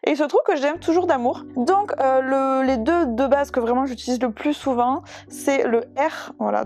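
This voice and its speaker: Young woman with a higher voice, chatty, self-mocking artist walking through her tools, dry close sound. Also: darkish tone, no echo. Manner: chatty, self-deprecating, many hesitations. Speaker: digital illustrator. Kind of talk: casual drawing tutorial.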